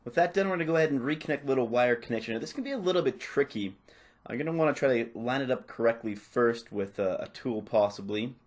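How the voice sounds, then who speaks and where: A man narrating a step-by-step tutorial off the cuff, in a casual, instructional way with softened phrasing. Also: mid-range voice, fast. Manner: casual, instructional, hedging with "kinda" and "a little bit". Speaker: repair tutorial presenter.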